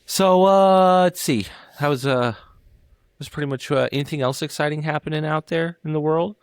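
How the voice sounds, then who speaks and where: A man's voice: a little high, moderate pace, clean tone, no echo. A young adult man co-hosting a podcast, rambling in casual chat with plenty of fillers and offhand swearing. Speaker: podcast co-host.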